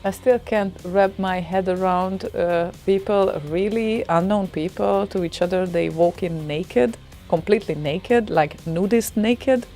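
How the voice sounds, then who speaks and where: Woman, Hungarian accent, radio co-host, casual chat, animated, exclaiming, faint music behind. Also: mid-range voice, unhurried, hardly any pauses. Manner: animated, exclaiming, laughs often.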